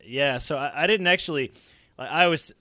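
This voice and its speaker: A man talking casually as a podcast panelist, excitable and emphatic in his delivery. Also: fairly high pitch, normal speed, no echo. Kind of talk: casual roundtable discussion.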